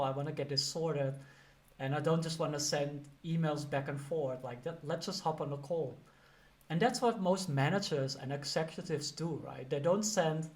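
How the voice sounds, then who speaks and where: A man with a Dutch accent giving casual career advice, talking loosely with lots of "you know" and "like". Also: on the high side. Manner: chatty, many fillers like "you know" and "like". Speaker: career coach. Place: lives and works in the UK.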